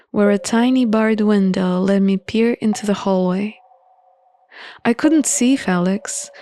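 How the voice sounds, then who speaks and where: Slow-paced woman narrating a visual novel. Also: medium pitch, dark tone, dry recording. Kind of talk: scripted first-person story narration.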